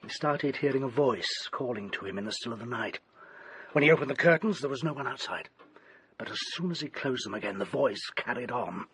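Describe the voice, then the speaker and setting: An actor playing a haunted, desperate gentleman doctor in a radio drama, with a British accent and an ornate, grimly theatrical delivery. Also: mid-range voice, lively intonation, a little fast, slightly rough, full character voice. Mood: ominous storytelling. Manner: ornate, theatrical, dwells on grisly detail.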